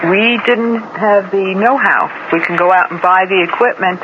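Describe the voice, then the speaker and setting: A man's voice: high-pitched, moderate pace, natural voice. A man playing a satisfied company controller in a conversational radio ad testimonial. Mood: matter-of-fact. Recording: muffled, noisy radio broadcast.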